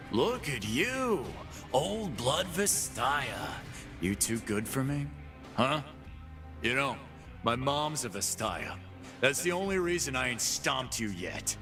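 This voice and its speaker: An actor voicing a cocky, brawling male fight-pit boss in game voice lines, full of gruff, boastful trash talk, with game music behind. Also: medium pitch.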